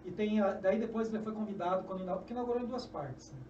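A high-pitched middle-aged man with a Brazilian Portuguese accent tells a long story as an interview guest, lively and prone to restarting his sentences. He sounds set back from the mic in a roomy, echoey studio. Audible background noise sits under his voice. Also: quick pace. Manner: animated storyteller, gestures often, restarts phrases. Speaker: guest, journalist and author. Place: small indoor podcast studio. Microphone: desk mic on a stand. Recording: echoey, distant, dull, noisy.

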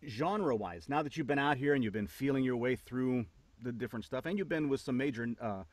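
A middle-aged man hosts a podcast interview, tossing out questions with a jokey, friendly manner. His voice sits at a middling pitch, recorded close on a condenser mic in a dry, treated home studio. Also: brisk, no echo. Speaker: podcast host. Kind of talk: casual interview chat. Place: home studio with foam panels.

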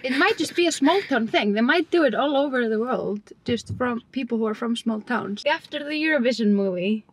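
A woman's voice: a little high, animated, brisk, hardly any pauses, husky, dry recording, rough recording. A young woman from Iceland in her twenties, chatting playfully and laughing from the passenger seat of a car. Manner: laughs often, playful teasing. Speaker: passenger and co-host. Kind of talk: casual chat. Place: inside a moving car. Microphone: camera on the dashboard. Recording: car noise behind the voice.

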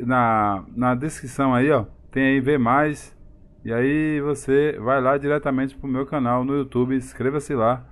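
A man with a Bahian accent from Salvador, chatting casually with viewers and greeting them by name during a live game stream. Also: mid-range voice. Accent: Bahian Brazilian Portuguese. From Salvador, Bahia, Brazil.

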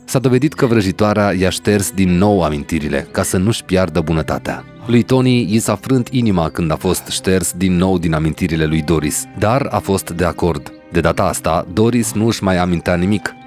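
A man's voice: low-pitched, few pauses, darkish tone, faint music behind. A man narrating a scripted fairy tale as storyteller.

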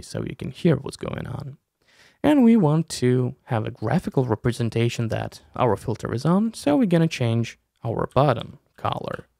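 Guy in his 30s doing a software tutorial, gravelly voice, really animated pitch. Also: no room sound, studio quality. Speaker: tutorial host. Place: small room indoors. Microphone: close desk mic with headset.

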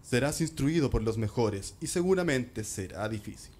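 A man plays a loving father in a radio drama. He is earnest and solemn, speaking with tender pride in an average-pitched male voice. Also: animated, moderate pace, darkish tone, lightly acted.

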